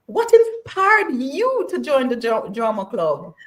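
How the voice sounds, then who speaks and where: A woman hosting a podcast interview, encouraging her guest with quick affirmations and laughter. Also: high voice, wildly swooping pitch, fairly bright tone. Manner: encouraging, laughing, frequent backchannels. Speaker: podcast host.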